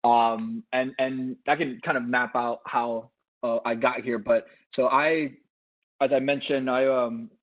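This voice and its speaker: A man's voice: mid-range voice, fairly even intonation, a little slow. Man on a tinny video call. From Cuba, raised in Miami. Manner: rambling, many restarts and fillers. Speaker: panelist. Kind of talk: conversational career advice.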